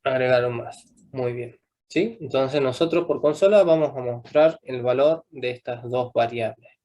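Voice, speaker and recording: Young male coding instructor, mid-pitched, patient, constantly checks understanding. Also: normal speed, mellow, lo-fi sound. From Argentina. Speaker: programming teacher. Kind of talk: live coding class.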